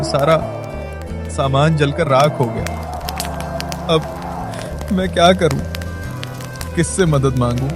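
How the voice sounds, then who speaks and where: A man's voice: medium pitch, lightly acted. A man playing a poor, distraught villager with plaintive emotional delivery in a moral story, over music. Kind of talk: acted moral story dialogue. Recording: voice over clear background music.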